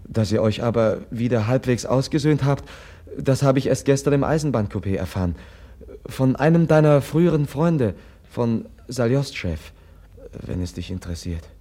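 Husky, gentle, earnest nobleman played by a man. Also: medium pitch, animated, natural voice. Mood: careful, concerned. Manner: earnest, halting, soft-spoken. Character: gentle, compassionate, earnest young Russian nobleman, pleading sincerely. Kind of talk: German radio drama acting.

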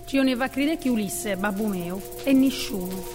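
Gallurese-accented woman over loud music. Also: mid-range voice, a little fast. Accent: Gallurese Sardinian.

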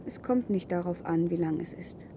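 An actress playing a calm, earnest woman living free in a wasteland, in a 1970s German radio play. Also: on the low side, normal speed, background noise, natural voice. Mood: calm, reassuring.

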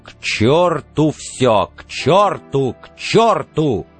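A man's voice: fairly high pitch, sing-song, very slow, slightly rough, soft background music, heavily acted. A man voicing an angry rebel at breaking point in an audiobook, agitated, explosive and defiant. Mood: exasperated anger.

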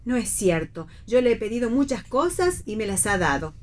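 A woman's voice: fairly high pitch, big pitch swings, normal speed. Earnest, polite middle-aged mother played by an actress. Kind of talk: read theatre for radio.

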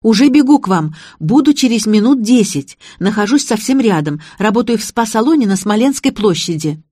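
Woman acting an urgent, high-pitched protective elder sister. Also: lively intonation, moderate pace, warm tone, breathy edge, no echo, studio quality. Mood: urgent, pleading. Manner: urgent, pleading, emphatic. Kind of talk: audiobook character voice.

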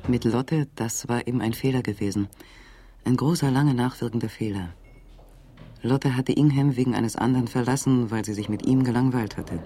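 A man narrating a crime radio play in a calm, measured way. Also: medium pitch. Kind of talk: scripted literary narration.

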